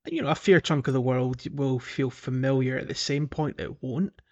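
A man's voice: medium pitch, normal speed. Man chatting, slightly muffled audio. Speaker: podcast host. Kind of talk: casual podcast chat.

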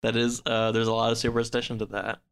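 A man in relaxed podcast conversation, explaining things informally in loose, hedging phrases peppered with "like". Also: medium pitch, fast talker, vocal fry, smooth. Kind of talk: casual podcast chat, explaining.

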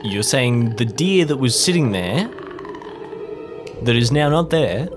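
A man's voice: mid-range voice, lively intonation, slow pace, noisy background, voice pushed up. A man playing an uneasy driver with a terse, hesitant way of talking in a tabletop game. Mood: disbelieving.

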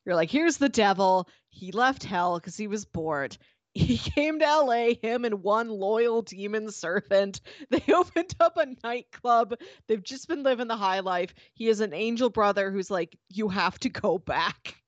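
A woman's voice: medium pitch, expressive, unhurried, no room sound, lo-fi sound. A woman co-hosting a podcast, chatting casually in a wry, amused way and laying out points in short sentences. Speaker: podcast co-host. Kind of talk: casual podcast chat and recap.

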